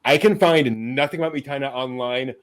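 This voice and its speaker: A man's voice: mid-range voice, brisk, fairly bright tone. A man hosting a casual news rundown with co-hosts, talking in a hesitant, conversational way. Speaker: host presenting anime news.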